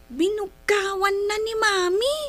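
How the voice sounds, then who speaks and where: An actor playing an excitable little child in a radio drama. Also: extremely high pitch, measured pace, dry recording, heavily acted. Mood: eager, childlike.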